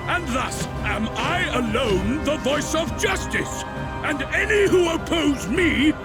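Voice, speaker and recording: Shrill, petulant tyrant lord. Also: male voice, lively intonation, slow pace, full character voice. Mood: haughty. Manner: pompous, theatrical, childishly raging. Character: tyrannical, petulant male lord of a city, cruel and self-important. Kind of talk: villain monologue in fantasy game cutscene. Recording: loud background music over the voice.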